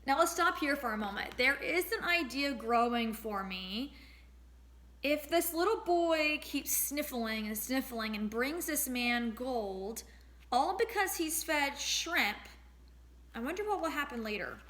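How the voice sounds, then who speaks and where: A woman reading a storybook aloud as a teacher, expressive and pausing to address young listeners. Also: high voice. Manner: expressive, acts out sound words.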